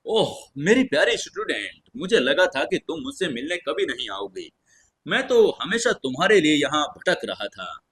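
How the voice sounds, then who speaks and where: A man's voice: on the high side, very animated, moderate pace, a bit bright, slightly rough, exaggerated voice. A man voicing a shameless old master magician in an audio story, effusive and wheedling. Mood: gushing delight.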